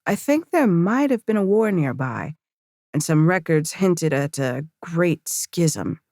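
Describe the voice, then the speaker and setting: Seasoned female squad leader, low pitch, dry sound, brisk orders, matter-of-fact, fantasy audiobook. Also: sing-song, darkish tone, no echo, full character voice. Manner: commanding, matter-of-fact, curt. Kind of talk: audiobook character acting.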